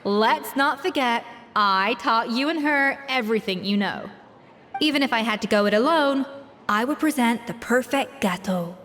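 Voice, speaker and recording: A woman's voice: fairly high pitch, lively intonation, normal speed, fairly bright tone, a little hiss or hum. A woman voicing a proud, exacting but caring master chef in a video game, composed and articulate. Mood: confident. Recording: reverberant, background music.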